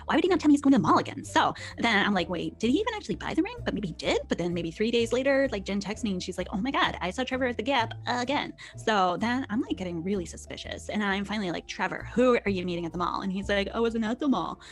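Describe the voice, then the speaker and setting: A woman's voice: medium pitch, expressive, fast, hardly any pauses, close to an everyday voice. A woman playing a rambling, exasperated young oversharer in an audio drama. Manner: rambling, gossipy, exasperated, filler-heavy. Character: oversharing, suspicious young woman venting about her boyfriend.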